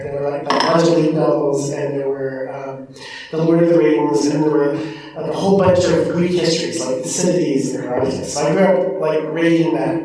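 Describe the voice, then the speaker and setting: A man from the Pacific Northwest telling rambling, animated stories while introducing himself on a panel. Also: on the high side, a little hiss or hum. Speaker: author, panelist. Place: large convention room. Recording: echoey room, far from the mic.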